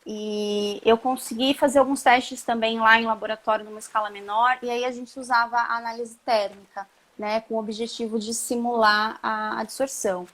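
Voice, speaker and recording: A middle-aged woman talks over a video call in an easy, rambling way, often checking in with little tag questions. Her voice sits fairly high. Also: normal speed, hardly any pauses. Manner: chatty, relaxed, many tag questions and restarts. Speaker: chemistry professor, guest. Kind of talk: casual interview on a live stream.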